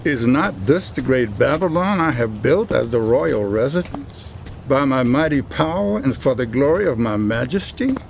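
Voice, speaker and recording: A man's voice: mid-range voice, expressive, normal speed, creaky, a little hiss or hum. An actor playing a proud, majestic king of ancient Babylon in a dramatized audio Bible, declaiming in a grand, regal manner. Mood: boastful. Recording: loud music behind the voice.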